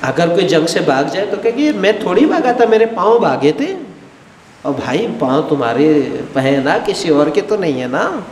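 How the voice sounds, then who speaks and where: A man's voice: high-pitched, moderate pace, reverberant, big-room sound, slight background noise. A middle-aged man giving a religious lecture, acting out little dialogues and gesturing broadly, on a headset mic. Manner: animated, gestures widely, acts out everyday dialogues. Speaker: Shia cleric lecturing. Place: studio with a blue backdrop, indoors.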